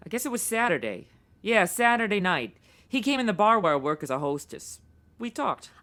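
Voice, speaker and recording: An actress playing a brassy, world-weary 1950s bar hostess in a radio noir parody, slangy and familiar. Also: female voice, medium pitch, big pitch swings, normal speed, a bit bright. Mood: weary, frank.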